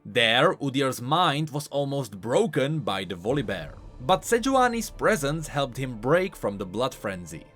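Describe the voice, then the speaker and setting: A man with a lively, widely swinging delivery narrates scripted game lore commentary. He explains things in an animated way at a middle pitch, with faint music behind him. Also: unhurried, hardly any pauses.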